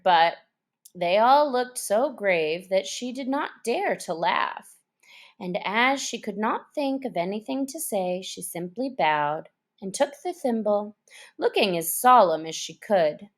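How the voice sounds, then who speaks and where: Slow, expressive woman storyteller. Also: mid-range voice. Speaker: storyteller, reader. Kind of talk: expressive children's audiobook narration.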